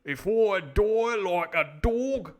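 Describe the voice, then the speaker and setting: A man playing an honest, defiant sailor in a storybook, with slow, drawn-out, rustic pirate speech. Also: sits high, animated, pitched above the performer's own voice. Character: stout-hearted honest old sailor, defiant to the death.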